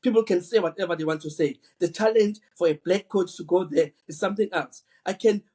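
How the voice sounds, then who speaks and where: Guy, high husky voice, earnest, stumbles and repeats himself a lot. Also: a little fast, dark tone. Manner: earnest, repeats words while thinking. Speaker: podcast co-host. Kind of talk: casual football chat.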